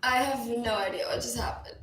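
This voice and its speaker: A woman's voice: fairly high pitch, brisk, fairly bright tone. A woman co-hosting a music radio show in casual, effusive, slangy chat. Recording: music playing behind, roomy echo.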